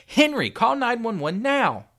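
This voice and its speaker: Man acting out a grown woman, wife and mother, high pitch, emphatic, emotional, storybook character. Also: big pitch swings, normal speed, gravelly, exaggerated voice. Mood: frantic. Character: teasing wife and mother turned frantic, adult woman.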